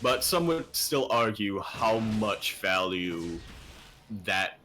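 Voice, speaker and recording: A man's voice: on the low side, big pitch swings, takes it slowly, noisy background. A man casting a live video game match, chatty and playful, often trailing off and picking his thoughts back up. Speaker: esports caster.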